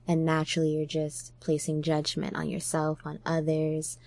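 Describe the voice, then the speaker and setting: A woman's voice: low-pitched, monotone, moderate pace, a little mellow, no echo. A woman hosting a podcast, talking in a casual, reflective way. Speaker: podcast host.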